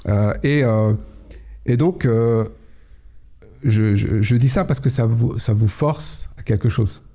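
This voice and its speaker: A middle-aged man, native Kabyle speaker, telling his story in an interview, slow and measured with many hesitations. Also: medium pitch, mellow. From Algeria, Kabylie. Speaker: guest, cybersecurity head. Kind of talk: podcast interview.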